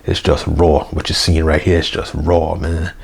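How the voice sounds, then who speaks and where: Hoarse deep-voiced guy rambling casually. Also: little pitch movement, normal speed, darkish tone. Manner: rambling, full of fillers like "you know" and "man"; slangy, swears casually. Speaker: wrestling review vlogger. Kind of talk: casual unedited review monologue. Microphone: basic mic, plans a new one.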